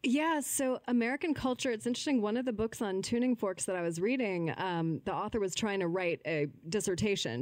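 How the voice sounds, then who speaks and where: A woman's voice: lowish voice, a little mellow, no room sound. A woman talking casually as an interview guest, explaining things and acting out imagined quotes.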